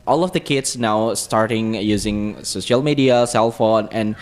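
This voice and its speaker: A man's voice: on the low side, a little slow. A man with an Indonesian accent co-hosting a radio chat, mixing English and Indonesian and agreeing often. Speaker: radio co-host.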